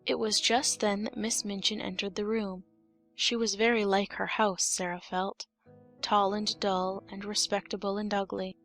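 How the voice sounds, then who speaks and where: A woman narrates a storybook in a scripted reading style. Her voice sits at an average pitch. Faint music plays behind her. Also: little pitch movement, moderate pace, fairly bright tone. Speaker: audiobook narrator.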